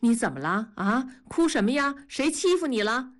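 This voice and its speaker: A woman's voice: a little high, big pitch swings, normal speed, voice pushed up. A woman reading a story aloud, voicing a tired, worried middle-aged father who asks short, curt questions. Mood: weary.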